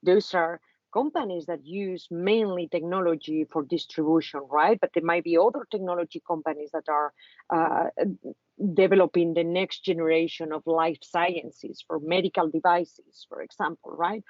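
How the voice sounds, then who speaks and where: A woman's voice: lowish voice, steady tone, moderate pace, darkish tone, dry recording. A woman from Spain with a Spanish accent, speaking as a guest on an online talk for students about her venture capital work, with many fillers and restarts. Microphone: video call. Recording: muffled video call audio.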